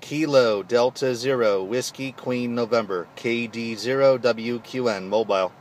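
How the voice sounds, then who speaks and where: A man operating a mobile ham radio from his vehicle, reading call signs clearly and talking through his gear in a friendly way. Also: medium pitch, unhurried, a little hiss or hum. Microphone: handheld radio microphone.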